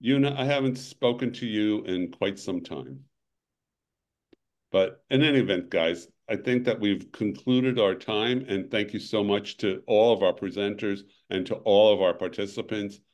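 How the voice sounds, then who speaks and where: An older man speaks from his home over a video call and hosts the session. His voice sits low, and his delivery is halting, full of restarts and a weary edge. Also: darkish tone. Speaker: webinar host. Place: home room, indoors.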